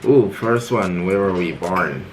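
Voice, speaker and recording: A man's voice: low voice, animated, a little slow, a little hiss or hum. A young man from Jamaica with a Jamaican accent, co-hosting a family vlog in casual chat, laid-back and laughing often. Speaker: vlog co-host. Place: home bedroom.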